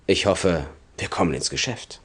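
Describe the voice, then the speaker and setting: A wheedling underworld dealer makes a sales pitch in a video game cutscene. The voice sits fairly low but swoops about, eager to ingratiate itself with the customer. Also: male voice, expressive, moderate pace, noisy background. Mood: ingratiating, sly. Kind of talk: scripted game cutscene monologue.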